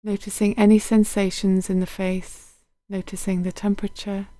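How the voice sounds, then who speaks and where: Woman doing a guided meditation, really even gentle voice, close dry sound, takes her time. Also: mid-range voice, no echo. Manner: gentle, unhurried, soothing, with soft phrase endings. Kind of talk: guided body scan meditation.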